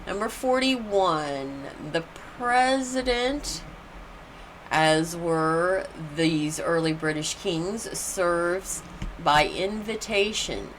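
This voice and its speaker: Female class leader, very unhurried and deliberate read-aloud delivery, lowish pitch. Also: animated, slight background noise, poor audio. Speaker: class leader reading numbered study questions and answers. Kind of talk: read-aloud lesson with brief informal asides.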